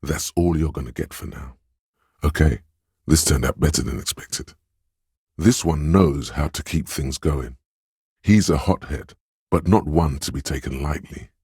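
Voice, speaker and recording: An actor voicing a sly inner voice in a video game, reading people and coaching in a measured, knowing, insinuating way with a gravelly, husky delivery. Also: male voice, rumbling low, a little mellow, no room sound, clean studio sound, exaggerated voice. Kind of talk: scripted video game narration.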